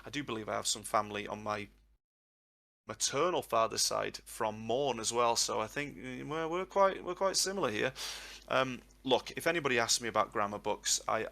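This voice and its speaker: A man's voice: mid-range voice, creaky, bright, forceful tone. A man in his thirties, a language tutor answering questions in a live session, long-winded and reflective. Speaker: Welsh tutor and guest. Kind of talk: live Q&A on a voice chat.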